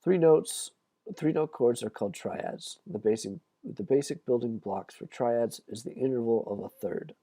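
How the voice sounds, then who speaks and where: A man with a croaky voice giving a casual livestreamed music theory lesson as a guitar teacher, often checking in with "okay?". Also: medium pitch, normal speed, dark tone, no room sound.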